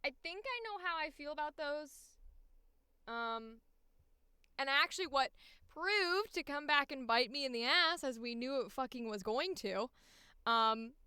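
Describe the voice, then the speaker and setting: A woman voice actor chatting casually on a livestream, thinking aloud in an informal, animated way, close to the microphone. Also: high voice, a little slow, no room sound. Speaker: voice actor recording auditions.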